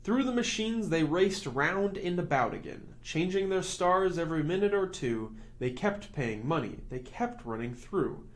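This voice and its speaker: A man hosts a children's storytime in a slightly high voice. His pitch swings widely as he reads rhyming verse. The delivery is expressive and rhythmic, in a picture-book storytelling style. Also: unhurried, hardly any pauses. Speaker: story reader and host.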